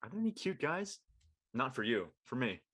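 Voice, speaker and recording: A young man playing a jokey, excitable college student with brash, slangy delivery in a comedic drama reading. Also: mid-range voice, moderate pace, husky. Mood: playful, brash. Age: young adult.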